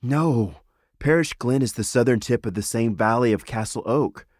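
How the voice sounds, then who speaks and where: A man's voice: medium pitch, moderate pace, a little mellow, no room sound, studio quality, natural voice. A man voicing a stern, pessimistic animal father and counselor in a storybook, grave and dismissive. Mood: stern objection.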